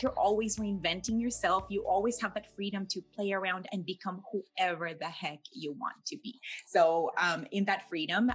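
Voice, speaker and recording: Playful woman coach, lowish voice, fillers. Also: fairly bright tone. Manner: playful, many fillers and restarts. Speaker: fitness coach, guest. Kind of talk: casual interview answers.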